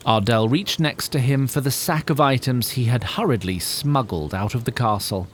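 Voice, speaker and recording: A man narrating a fantasy audio drama in a deliberate, literary storybook style. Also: mid-range voice, slight background noise, lightly acted. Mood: measured storytelling.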